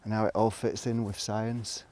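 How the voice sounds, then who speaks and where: A man talking casually to a vlog audience in a reflective, rambling monologue, musing haltingly and trailing off between thoughts. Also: fairly low pitch, little pitch movement, darkish tone. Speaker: vlogger. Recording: noticeable background noise, clicks.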